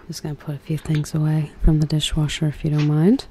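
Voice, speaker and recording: A soft-spoken woman hosting an ASMR cooking role play, chatting soothingly as if to a guest. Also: deep voice, completely flat delivery, brisk, background noise. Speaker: ASMR host.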